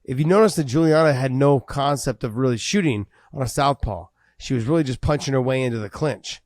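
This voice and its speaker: A man co-hosting a casual MMA podcast, talking in an animated way and repeating words as he builds his points. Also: medium pitch, fairly quick, no echo, studio quality. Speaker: podcast co-host.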